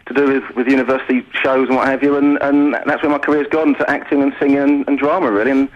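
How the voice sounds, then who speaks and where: A man's voice: medium pitch, flat delivery, quick pace. A British man who directs and teaches drama, chatting effusively as a guest in a radio interview. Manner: effusive, talkative. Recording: dull lo-fi radio sound.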